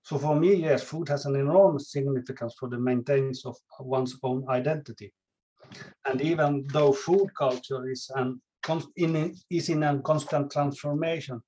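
A man from Finland with Swedish as his native language and a Finland-Swedish accent, a chef speaking as a guest in a podcast interview, measured and careful, sometimes searching for words. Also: medium pitch, steady tone, dark tone, rough recording.

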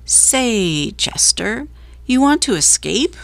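A woman playing a whiny, high-pitched, theatrical little mouse. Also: very animated, takes it slowly, creaky, fairly bright tone, no room sound, heavily acted. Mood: nervous, coaxing. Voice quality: high, pitiful, choked with emotion. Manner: melodramatic, flowery, old-fashioned phrasing. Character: whiny, theatrical, miserly male mouse, self-pitying.

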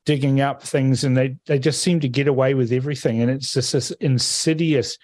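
A man's voice: medium pitch, steady tone, fairly quick, darkish tone, no room sound, studio quality. Forthright man on a political panel. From Fiji. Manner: forthright, assertive opinions.

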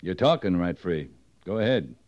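A stern cavalry captain of the Old West in a radio drama. His low voice is terse, measured and used to command. The sound is the muffled one of an old broadcast. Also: lively intonation, a little mellow. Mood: firm, calm. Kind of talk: old-time radio western acting.

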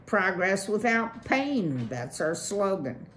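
A woman reading a storybook, voicing a brash, fast-talking male beaver boss with slangy salesman patter. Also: mid-range voice, very animated, fairly bright tone, a little hiss or hum.

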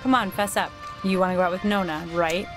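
A woman with an American accent playing a caustic, domineering teenage mean girl in a video game, sarcastic, deadpan and cutting. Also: lowish voice, a little fast, over music, close to an everyday voice. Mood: taunting. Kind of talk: naturalistic video game acting.

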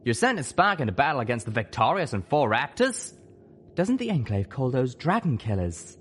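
A man voicing an earnest male pegasus pony soldier in a storybook, with forceful, folksy speech. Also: medium pitch, big pitch swings, moderate pace, husky, voice pushed up. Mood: doubtful.

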